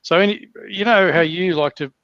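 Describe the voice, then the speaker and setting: Australian man, higher voice, lo-fi call audio. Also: moderate pace, no echo. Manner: rambling, repeats himself, calls his guest mate. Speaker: podcast host. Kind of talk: casual news commentary chat.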